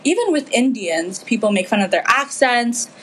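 A woman's voice: fairly high pitch, very animated, normal speed, a bit bright, slight background noise, rough recording. A teenage girl from the Philippines talking earnestly and with feeling in a casual discussion, with frequent fillers. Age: teens. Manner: earnest, impassioned, many fillers.

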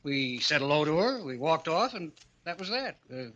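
Muffled old radio show, a grumbling wisecracking husband, exasperated, really animated. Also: male voice, on the high side, moderate pace. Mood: teasing, puzzled. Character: sarcastic, exasperated husband woken at midnight. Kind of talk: old-time radio drama acting.

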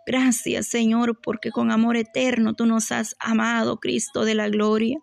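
Woman praying fervently in a gravelly voice. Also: a little high, flat delivery, measured pace. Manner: devout, pleading, repetitive invocations. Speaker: religious worshipper leading prayer.

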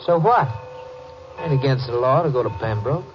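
An actor playing a wary, defiant young cowhand on parole in a 1950s radio drama, guarded and clipped. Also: male voice, mid-range voice, lively intonation, normal speed, music in the background, lightly acted. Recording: muffled, slightly noisy old radio recording.

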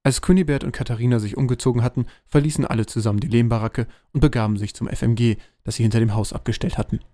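A man's voice: medium pitch, fairly even intonation, very fast, dark tone, dry recording, clean studio sound. A man narrating a scripted comic fantasy audio drama as its storyteller.

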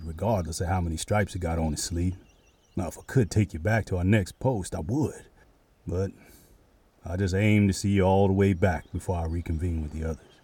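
An actor playing a weary, devout older cavalry sergeant in a western audio drama, measured and folksy. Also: male voice, low-pitched, mellow, slightly rough. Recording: music behind the voice.

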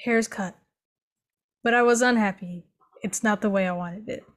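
A young adult woman telling a personal story in a plain, steady, unhurried way. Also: mid-range voice. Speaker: panel speaker.

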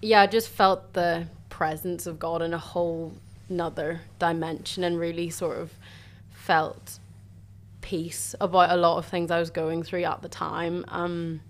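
Young woman, lowish voice. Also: level tone, measured pace. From Northern Ireland. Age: young adult. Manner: chatty, warm, lots of "sort of" and "like". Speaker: university student. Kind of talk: casual faith testimony chat.

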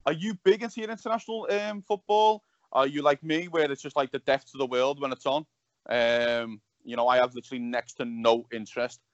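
A man's voice: mid-range voice, a little fast, no room sound. Male podcast host chatting, stumbles and repeats words, dry muffled audio. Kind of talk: casual chat.

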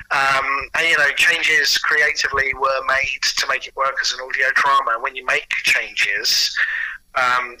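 Male interview guest, very bright forceful tone, husky edge, halting conversational storyteller who restarts his phrases. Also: mid-range voice, low-quality recording. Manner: halting, repeats words as he starts sentences. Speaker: interview guest, audio producer and writer. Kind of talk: casual conversational anecdotes.

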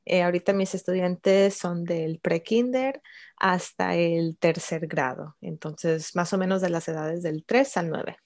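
Slow-speaking woman, lowish voice. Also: darkish tone. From Chicago, United States. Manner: unhurried, many fillers, light laughs. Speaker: bilingual speech-language therapist, guest. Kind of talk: relaxed interview answers.

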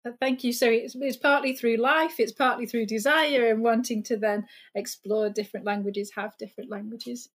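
A woman hosting a podcast, introducing her guests in a measured, welcoming way. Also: on the high side, steady tone, fairly bright tone. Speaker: podcast host, senior lecturer.

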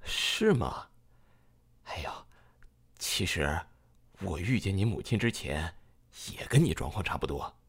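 A man playing a genial middle-aged father in an audio drama, gently probing and hospitable. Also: low-pitched, big pitch swings, measured pace, long pauses, breathy edge, full character voice. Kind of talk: modern audio drama acting.

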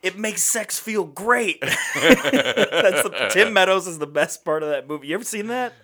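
A hoarse man co-hosting a comedy podcast, joking and laughing often, then singing crude karaoke parodies. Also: high-pitched, expressive, unhurried, pushed and bright. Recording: music backing track behind.

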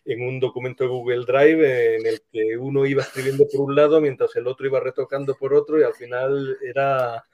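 A man's voice: medium pitch, flat delivery, a little fast, few pauses, lo-fi sound. A man with an accent from Spain explains things in a casual, hesitant interview style, as a screenwriter being interviewed. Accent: Spain Spanish.

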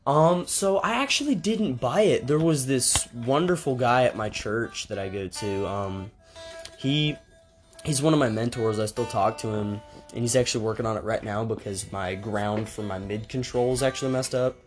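A man's voice: medium pitch, normal speed. A young man hosting a casual podcast with friends, rambling with fillers and restarts while a guitar plays behind him. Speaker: podcast host. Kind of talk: casual chat among friends. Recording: guitar playing behind the talk.

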